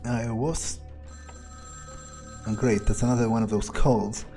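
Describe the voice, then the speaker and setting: A man playing a foul-mouthed, sardonic vampire, musing aloud with wry, hesitant pauses as he plays a video game. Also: mid-range voice, fairly even intonation, warm tone, soft background music, natural voice. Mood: puzzled. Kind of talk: in-character playthrough narration, musing aloud.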